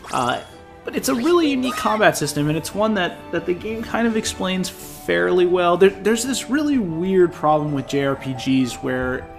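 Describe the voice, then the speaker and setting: A man with a stuffy, nasal voice from an infection talks casually through a gameplay preview as a game editor. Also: fairly high pitch. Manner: casual, chatty, spontaneous.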